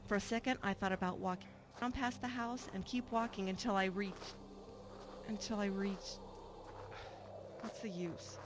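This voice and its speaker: An actress plays a troubled, wistful middle-aged woman in a radio drama. She speaks earnestly and reflectively at a medium pitch, with clear background noise and ambience around her. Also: fairly even intonation, moderate pace, pauses often, close to an everyday voice. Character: troubled, wistful woman returning home to her estranged mother. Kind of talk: radio drama acting with first-person narration.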